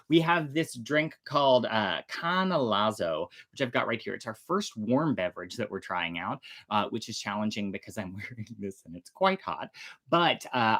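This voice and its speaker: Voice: mid-range voice, bright, forceful tone. A storytelling show host presenting casually to an online audience in a chatty, theatrical way. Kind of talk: casual livestream presenting to camera.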